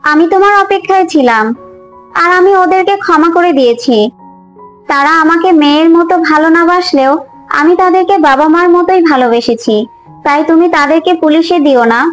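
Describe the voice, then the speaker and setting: Very high, sorrowful young female spirit, acted by a woman. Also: natural voice, low-quality recording. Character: gentle forgiving spirit of a murdered young wife, speaking from a rose bush. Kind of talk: cartoon fairy-tale acting. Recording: music under the voice.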